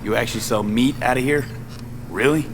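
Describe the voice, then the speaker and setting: A man's voice: mid-range voice, a little fast, background noise, lightly acted. An actor playing a blunt, guarded young male mercenary in a cyberpunk video game, with terse streetwise phrasing. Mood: wary, blunt.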